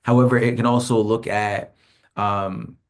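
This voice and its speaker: A man's voice: on the low side, little pitch movement, unhurried. A man, a hip hop artist, talking casually to camera in a rambling, slang-filled and self-reflective vlog, often laughing.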